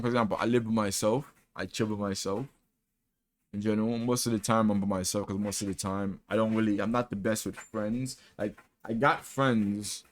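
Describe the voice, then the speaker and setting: Candid young man in a rambling vlog. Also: on the low side. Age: 20s. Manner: candid, self-deprecating, rambling with restarts; casual slang, says "bro" often. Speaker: vlogger. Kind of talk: personal reflection monologue.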